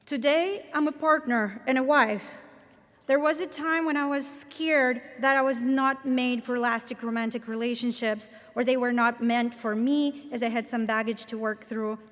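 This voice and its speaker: A woman's voice: a little high, fairly even intonation, a bit bright. Latvian-accented woman speaking in a reverberant hall. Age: 30s. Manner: measured, earnest, deliberate phrasing. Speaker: commencement speaker, professor. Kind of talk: formal commencement address. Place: large ceremony hall.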